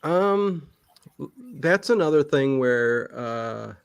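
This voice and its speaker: A man's voice: mid-range voice, lively intonation, drawn-out words, gravelly, clear, resonant tone, no echo. A man chatting casually in an interview, rambling and emphatic, often restarting his sentences halfway through. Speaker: livestream channel host being interviewed.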